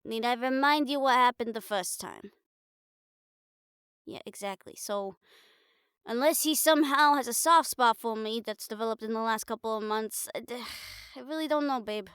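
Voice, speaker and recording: A woman playing a caring, blunt, teasing young girlfriend in an improvised audio roleplay, chatting casually and haltingly to the listener. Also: a little high, a bit bright, clean tone, dry recording, studio quality, natural voice. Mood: worried, hesitant. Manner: casual, halting, playful swearing, affectionate teasing.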